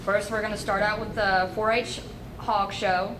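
A woman's voice: mid-range voice, little pitch movement, moderate pace. A young woman reading a schedule of fair events in a chatty scripted skit. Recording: echoey room, distant mic, noise.

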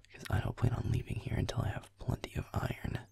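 A man playing a grumpy, sarcastic werewolf boyfriend in an audio roleplay, muttering dry game commentary in a husky, whispery voice. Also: quick pace, lightly acted. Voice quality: husky, gravelly, whispery low voice.

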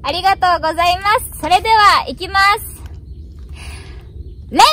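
A woman's voice: extremely high pitch, brisk, over a noisy crowd. A woman in her twenties making polite, formally worded radio contact calls outdoors. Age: 20s. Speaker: amateur radio operator. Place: outdoors on a hillside roadside.

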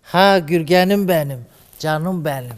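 A man's voice: fairly high pitch, a little slow, big-room sound, lightly acted. A man playing a flamboyant female TV fairy hostess, teasing and brassy, in a comedy sketch. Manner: teasing, flamboyant, calls women "sister". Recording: studio audience behind.

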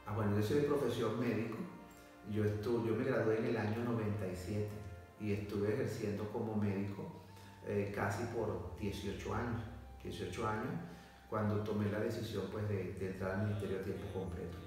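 A Venezuelan man in his forties, a pastor and former doctor, giving a testimony interview in a measured, hesitant way with many fillers. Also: lowish voice, steady tone, a little mellow. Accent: Venezuelan Spanish. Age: 40s. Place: indoor room, seated on sofa. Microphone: far camera. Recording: echoey room from a distance, music behind.

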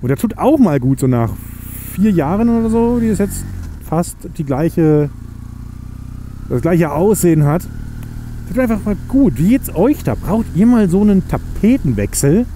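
A man's voice: high voice, big pitch swings, a little slow, mellow. A young man, chatting casually and rambling as he rides his motorcycle through the city. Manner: rambling, chatty and self-mocking. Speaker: motovlogger. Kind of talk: casual chat while riding. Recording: faint engine and road noise.

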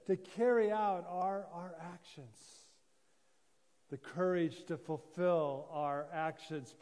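Slow, high-pitched male preacher in a reverberant hall. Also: mellow. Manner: solemn, deliberate phrasing with pauses and repetition. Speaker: pastor preaching. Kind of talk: sermon, measured oratory. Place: church sanctuary, indoors at a lectern. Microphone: gooseneck lectern microphone. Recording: reverberant church hall sound.

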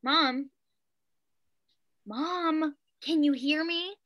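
A woman's voice: high-pitched, very slow, fairly bright tone, full character voice. A woman in her twenties playing an exasperated, sarcastic daughter held captive, in a play reading over a video call. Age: 20s. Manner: sarcastic, foul-mouthed, pleading. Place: home kitchen, indoors.

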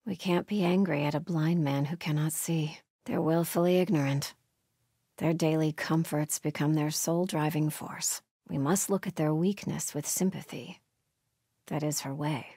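A devout female cult sentry from a video game. Her voice is lowish and husky, solemn and watchful, with measured, pious phrasing and a dry, close sound. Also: steady tone, mellow, dry recording. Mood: solemn vigilance. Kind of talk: video game ambient dialogue.

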